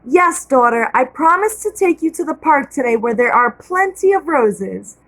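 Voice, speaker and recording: Woman voicing a soft-spoken storybook mother, affectionate, high voice. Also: animated, moderate pace. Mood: tender. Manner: soothing, affectionate. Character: gentle loving mother.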